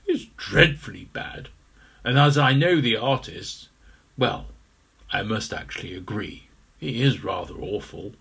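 Posh, languid storybook gorilla, gravelly touch; male reader. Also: fairly low pitch, lively intonation, unhurried, fairly bright tone, exaggerated voice. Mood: snooty. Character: snobbish clubland male gorilla.

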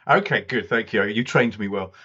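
A man's voice: lowish voice, fast talker, dull audio, noisy background. A man hosting an interview podcast, chatty and self-deprecating, with lots of fillers. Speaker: podcast host. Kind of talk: casual interview chat.

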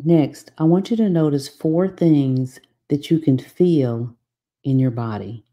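A woman leading a guided meditation from a script, giving soothing, unhurried instructions with long pauses. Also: low-pitched, warm tone, muffled recording.

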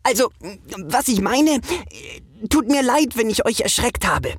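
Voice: medium pitch, very animated, measured pace, voice pushed up. A narrator voicing an eager, boastful young superhero who gushes and gets flustered and stammers, in a children's audio story. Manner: exuberant, stammering, invents gushing super-words.

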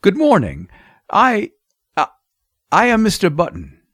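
A man voicing a panicky, indignant gentleman, a new father, in an audiobook story with frantic exclamations. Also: fairly high pitch, huge pitch swings, moderate pace, no echo, studio quality, pitched above the performer's own voice, exaggerated voice. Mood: nervous.

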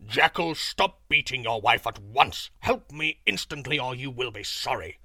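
A man voicing a big, angry male lion who barks loud, threatening orders. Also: sits low, unhurried, dry recording, studio quality, voice pushed down, full character voice. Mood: commanding.

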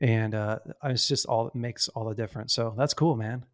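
A man's voice: medium pitch, steady tone, moderate pace. A man with an ordinary mid-range voice hosts a casual podcast chat. He backs up his guest with easy, encouraging remarks and calls him bro.

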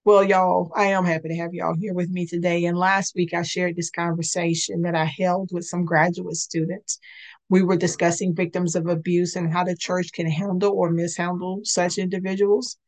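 A woman hosting a faith-based podcast, speaking in an earnest, conversational way, her voice a little raspy today. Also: lowish voice, flat delivery, moderate pace. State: slightly raspy voice. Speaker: podcast host and licensed clinician.

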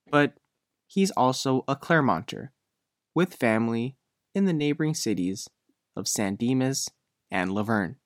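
A man's voice: mid-range voice, no echo, clean studio sound. A man working as a reporter, reading a scripted news feature narration.

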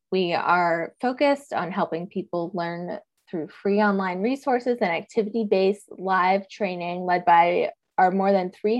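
A woman moderating an online webinar, introducing the session with frequent "um" fillers. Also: medium pitch. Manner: friendly, many "um" fillers. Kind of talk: hosting a webinar.

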